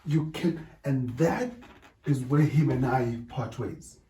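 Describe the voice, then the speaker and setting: A middle-aged South African man whose first language is Zulu. He talks in a deliberate way in a casual discussion and keeps checking in with "right?". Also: medium pitch, mellow, slight background noise. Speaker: podcast co-host. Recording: echoey room, distant mic.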